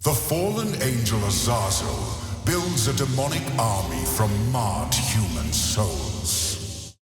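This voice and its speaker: Solemn narrator in heavy echo. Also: male voice, fairly low pitch, sing-song. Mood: grave, epic. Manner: grave, measured, dramatic. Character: solemn, portentous male narrator of a holy war. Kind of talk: scripted video game narration. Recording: heavy echo, distant, with music.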